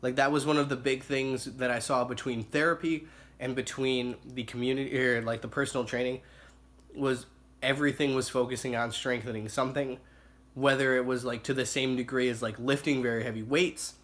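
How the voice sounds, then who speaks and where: Young male student, raspy and hoarse from illness, casual and halting with lots of fillers. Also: mid-range voice, a bit bright. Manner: halting, many fillers and self-corrections. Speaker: student reporting on observation hours. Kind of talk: casual spoken report.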